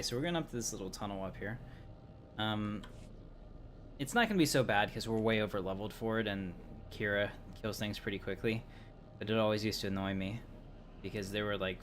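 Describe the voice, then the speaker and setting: A man's voice: on the low side, fairly bright tone, a little hiss or hum. A man livestreaming a game who chats casually in a rambling way with frequent fillers. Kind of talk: casual gameplay chat.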